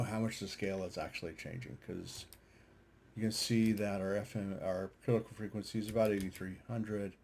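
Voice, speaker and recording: A man's voice: fairly low pitch, darkish tone, slight background noise. A man giving a casual, unscripted commentary over charts, hesitant and thinking aloud as he goes. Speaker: amateur radio operator and video host. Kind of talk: casual screen-share commentary on data charts.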